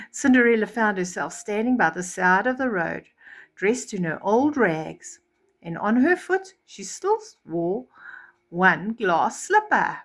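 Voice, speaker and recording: A woman storyteller reading a children's fairy tale expressively, with chatty asides and acted-out reactions. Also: mid-range voice. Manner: animated, acts out reactions.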